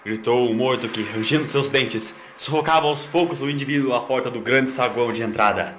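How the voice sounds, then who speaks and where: A man's voice: medium pitch, brisk, a bit bright, slight background noise. A man reading a scripted story as a dramatic narrator. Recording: echoey, with music behind.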